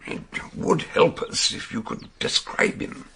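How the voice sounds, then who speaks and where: An actor playing an eccentric, brilliant older British detective doctor in a radio drama, ponderous, emphatic and theatrical. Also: male voice, a little slow, bright, forceful tone. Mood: probing.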